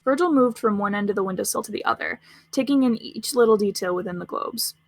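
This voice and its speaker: Woman narrating a fanfic, reads quick, bit of vocal fry, dry close mic. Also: mid-range voice, dry recording. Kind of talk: scripted fan fiction narration.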